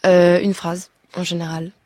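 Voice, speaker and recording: A woman's voice: on the low side, little pitch movement, a little slow, a little mellow, dry recording, clean studio sound. A young woman from Belgium with a Belgian French accent, a singer as a radio interview guest, talking hesitantly with many restarts. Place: radio studio.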